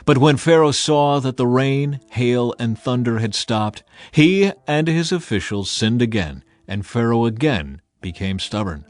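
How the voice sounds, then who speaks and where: A man's voice: medium pitch, measured pace, no echo. A man narrating a dramatized scripture audiobook in a solemn, emphatic storytelling manner.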